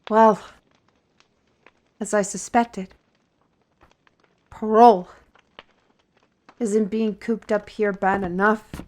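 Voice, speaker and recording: A woman's voice: mid-range voice, steady tone, extremely slow, pauses often, a little mellow. A woman playing a wry, cryptic artist on parole in a fantasy audio roleplay, grudgingly hospitable and speaking with theatrical deliberation. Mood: dry annoyance. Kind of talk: audio roleplay acting addressed to listener.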